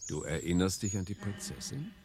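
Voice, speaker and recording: An actor playing an insistent, seductive sea captain in a radio play, with theatrical, coaxing delivery that can turn menacing. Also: male voice, low-pitched, sing-song, fairly quick, crowd noise. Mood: storytelling. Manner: theatrical, coaxing, abrupt shifts between whispers and shouts. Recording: music behind the voice.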